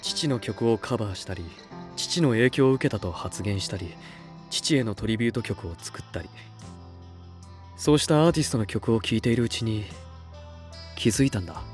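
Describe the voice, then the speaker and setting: A male actor playing an earnest, soft-spoken young record label head in an anime voice drama, speaking in a measured way. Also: lowish voice, expressive, faint music behind, close to an everyday voice. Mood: reflective.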